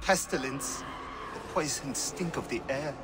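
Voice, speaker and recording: An actor playing a despairing Egyptian high priest in a video game, halting and lamenting as he pleads. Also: natural voice. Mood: grim despair. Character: anguished male Egyptian high priest, despairing and fearful for his wife. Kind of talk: dramatic video game voice acting. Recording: long reverb under loud music.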